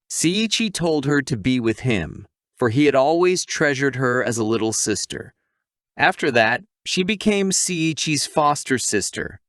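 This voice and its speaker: A man's voice: mid-range voice, fairly bright tone, dry recording, studio quality. A man narrating a scripted story recap as a channel host, with playful asides and questions to the viewer.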